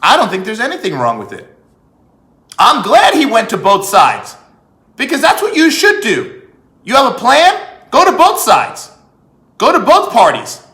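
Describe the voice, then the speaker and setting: Male commentator, high bright voice, deliberate and emphatic, hammering points with repetition. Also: wildly swooping pitch. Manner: emphatic, repeats phrases for effect, rhetorical questions. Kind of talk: opinionated political monologue. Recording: echoey room.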